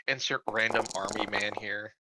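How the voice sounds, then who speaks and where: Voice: medium pitch, steady tone, takes it slowly, background noise. A player at a tabletop game, joking and self-mocking. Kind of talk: casual play at a tabletop game.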